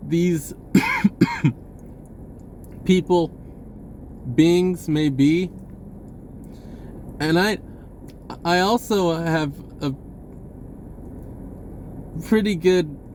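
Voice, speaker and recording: Slow-talking man, casual and rambling, noisy background. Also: a little high, a little mellow. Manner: halting, informal and blunt, with swearing. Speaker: vlogger speaking a personal message. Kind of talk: rambling spiritual monologue, casual.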